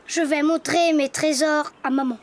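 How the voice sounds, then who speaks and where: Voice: high voice, fairly even intonation, normal speed, a little hiss or hum. A performer voicing a cheerful, curious little donkey boy in a preschool cartoon, with simple, wondering childlike phrasing. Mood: curious, delighted.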